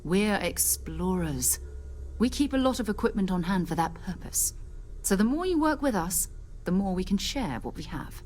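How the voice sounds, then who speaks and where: An actress playing a seasoned, principled space explorer captain in a video game, speaking in a measured, earnest, authoritative way. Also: female voice, medium pitch, sing-song, a little mellow, soft background music, natural voice. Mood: reassuring, businesslike. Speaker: expedition leader. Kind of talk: video game character dialogue.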